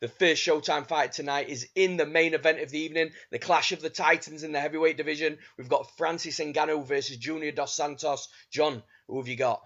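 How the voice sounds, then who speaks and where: A man hosting a fight podcast, his voice a little croaky, reading his intro in a formal announcer way. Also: fairly high pitch, fairly even intonation, brisk, few pauses, fairly bright tone, lo-fi sound. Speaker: podcast host. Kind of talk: scripted intro and sponsor read.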